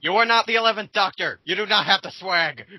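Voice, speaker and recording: A man's voice: high voice, a little fast, pushed and bright, no echo, poor audio. A young man hosting a group reading on a voice call, giving casual, sarcastic and theatrical commentary. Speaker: host of a group dramatic reading. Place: voice call, close dry sound.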